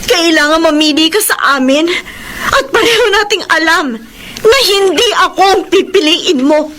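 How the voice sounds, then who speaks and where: A woman's voice: extremely high pitch, animated, moderate pace, a bit bright. A woman playing an anguished, defensive mistress in a melodramatic radio drama, pleading with emotional questions. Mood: pleading, tearful. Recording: faint background noise.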